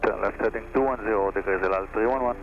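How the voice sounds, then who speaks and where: A man's voice: on the low side, quick pace, over music. A man making clipped calls over aviation radio. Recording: muffled radio with faint hum and noise.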